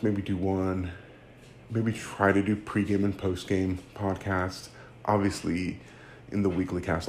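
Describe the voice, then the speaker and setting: A man's voice: sits low, darkish tone, slight background noise. A man, hosting a casual solo sports podcast and rambling with fillers and self-corrections. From United States. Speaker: podcast host.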